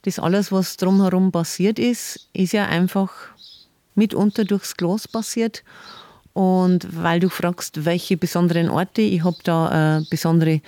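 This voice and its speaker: A woman, a city tour guide talking in a relaxed podcast interview, chatty and warm, often laughing and repeating words. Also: fairly low pitch, a little slow.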